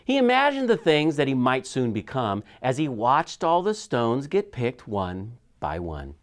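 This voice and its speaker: A man reads a children's story aloud in a mid-range voice. His intonation swings widely, with dramatic, animated shifts that suit picture book storytelling. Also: medium pitch, measured pace. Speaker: school superintendent reading aloud.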